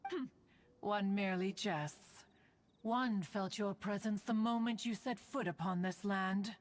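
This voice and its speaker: A woman's voice: mid-range voice, a little slow, background noise, natural voice. A woman voicing an ancient female crane spirit in a game, proud and teasing, speaking in archaic, stately phrases and calling herself "one". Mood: stern. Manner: archaic stately speech, refers to self as "one". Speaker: game character. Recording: loud music behind.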